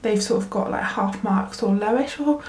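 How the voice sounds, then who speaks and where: A woman's voice: medium pitch, normal speed, darkish tone, breathy edge. A woman, chatting casually in a book vlog, full of ums and likes, sniffly and congested from a cold. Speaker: book vlogger. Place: work bathroom.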